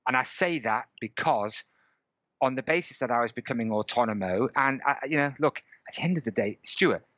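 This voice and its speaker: A man's voice: mid-range voice, animated, brisk, a bit bright, no room sound. A man in his fifties, a guest on a video call from a room at home, telling a story casually and checking in often with "okay?" and "right?". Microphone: headset on a video call.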